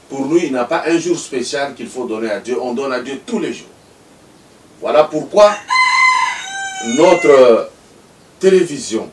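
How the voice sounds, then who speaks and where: A man from Congo hosting a TV interview, formal and emphatic. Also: on the high side. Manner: formal, emphatic, speaks in sweeping phrases. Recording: echoey room, mic far off, faint noise.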